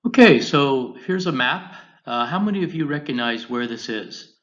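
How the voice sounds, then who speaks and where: An American man teaching an online class, giving a deliberate, instructional lecture over slides, phrase by phrase. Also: medium pitch, lively intonation, fairly bright tone, dull audio. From United States, Colorado.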